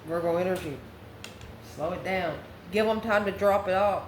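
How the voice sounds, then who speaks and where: A woman's voice: fairly low pitch, moderate pace. A woman giving a casual, mystical tarot reading, speaking directly and affectionately to the listener as host. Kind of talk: casual spoken tarot card reading to camera. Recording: echoey room, clearly noisy background.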